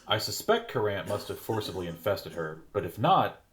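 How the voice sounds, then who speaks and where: Audio drama: actor as demanding, doubtful alien official, formal, lower male register. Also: big pitch swings, normal speed, fairly bright tone. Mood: stern, doubting. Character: stern, skeptical male alien interrogator in a human host.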